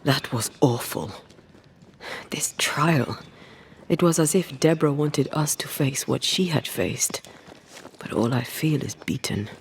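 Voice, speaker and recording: A weary, grave ghost hunter in a video game, with a gravelly, mid-pitched voice. He speaks slowly and somberly over faint ambient noise. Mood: weary, shaken. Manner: somber, measured. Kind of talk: video game dramatic dialogue.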